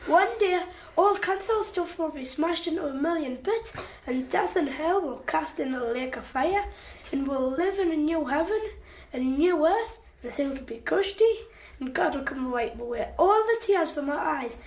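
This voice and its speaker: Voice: high voice, expressive, normal speed. A young performer playing an earnest, plain-spoken eleven-year-old boy in a radio drama. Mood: fervent. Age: child. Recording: echoey, hissy radio drama recording.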